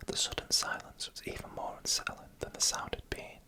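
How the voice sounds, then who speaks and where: Hushed, breathy ASMR whisper narrator. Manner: hushed, deliberate, short measured phrases. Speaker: narrator and channel host.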